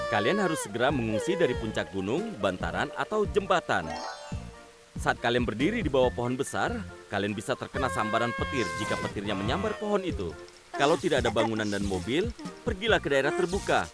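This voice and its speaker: A man's voice: mid-range voice, natural voice. A man voicing Roy, the rescue robot truck. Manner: calm, instructive, protective. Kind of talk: dubbed cartoon voice acting.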